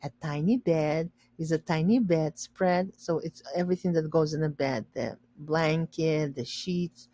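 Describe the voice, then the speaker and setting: A woman reading a children's story aloud and pausing to explain words simply for learners. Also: low voice, dark tone, no room sound.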